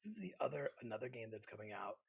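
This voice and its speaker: A man's voice: medium pitch, lively intonation, rapid-fire, vocal fry, breathy edge. A man co-hosting a podcast, chatting informally and giving his opinions on games. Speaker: podcast co-host. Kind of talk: casual chat about games.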